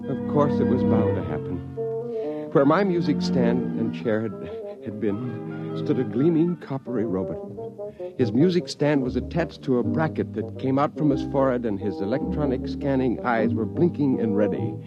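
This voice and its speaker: Dull 1950s radio sound with music under it carries a male actor as an earnest, anxious musician. He sounds flustered and swings widely in pitch, in old radio drama style. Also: animated, normal speed, close to an everyday voice. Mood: rueful narrating. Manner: earnest, flustered, stammering when upset. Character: earnest anxious male bassoonist, first-person narrator.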